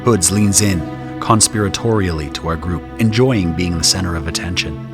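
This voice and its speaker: A man's voice: deep voice, moderate pace, over music. A man narrating a scripted audio drama in the first person.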